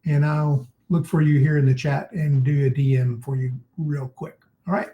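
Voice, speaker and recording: A man's voice: on the high side, level tone, moderate pace, dull audio. A man casually co-hosting an online meeting and running a prize drawing. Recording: roomy echo on online call.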